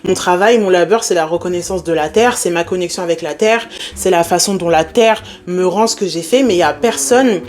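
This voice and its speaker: A young woman from France talking casually to camera in a lively, animated way, with English words mixed in. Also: on the low side, fairly quick. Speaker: spiritual content creator.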